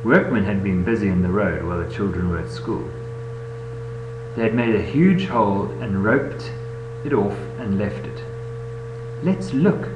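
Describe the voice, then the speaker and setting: A man reading a children's bedtime story aloud in an expressive way, recorded on a webcam. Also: lowish voice, normal speed, muffled recording. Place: indoor room, webcam recording. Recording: echoey room, noisy webcam audio with hum.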